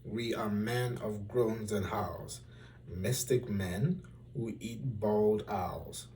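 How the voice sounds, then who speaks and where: A man voicing mystic, sinister storybook magicians in a hushed, rhythmic chant. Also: lowish voice, extremely slow, recorded from a distance, a little hiss or hum. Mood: eerie chanting.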